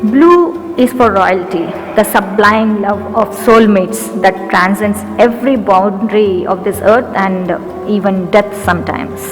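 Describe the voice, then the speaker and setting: Indian woman, mid-range voice, English teacher and poet, earnest recital; long reverb, music bed. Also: medium pitch. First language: Urdu. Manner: measured, earnest, slows down for verse lines. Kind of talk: poetry recitation with commentary.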